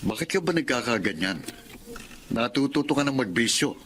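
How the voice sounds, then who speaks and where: A man's voice: medium pitch, lively intonation, normal speed, a bit bright, slight background noise, natural voice. A man playing a stern, worried middle-aged father in a radio drama, earnest and questioning. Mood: upset.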